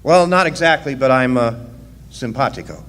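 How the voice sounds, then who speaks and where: A man's voice: medium pitch, normal speed, a bit bright, lightly acted. A man as a wry miner in an echoing hall. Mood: polite, easygoing. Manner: folksy, wry. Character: wry, easygoing drifter miner, narrating his youth. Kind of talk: live original radio drama. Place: live performance in a resort hall. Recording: echoing hall, faint noise, live stage.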